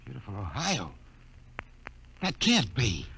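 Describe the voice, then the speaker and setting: An actor playing an earnest, dutiful junior spaceship officer with an American accent in a 1950s radio drama. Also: male voice, medium pitch, wildly swooping pitch, slow pace, creaky, a bit bright, breathy edge, full character voice, poor audio. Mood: wondering.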